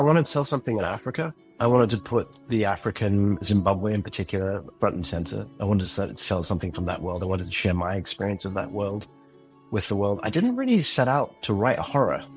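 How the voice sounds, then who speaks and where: A man talking as a podcast guest about creating an audio drama, thoughtful and earnest. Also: fairly low pitch. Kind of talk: reflective interview talk.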